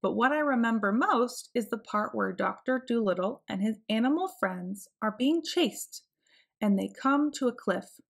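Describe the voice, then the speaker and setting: Children's storybook narrator: woman, slightly high voice, expressive read-aloud delivery. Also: moderate pace, creaky. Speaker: children's picture book reader.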